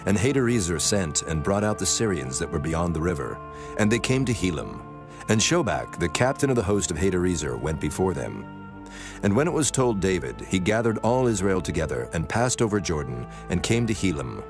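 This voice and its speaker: A man narrating scripture from a script in a solemn, measured manner. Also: sits low, very animated. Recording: music bed under the voice.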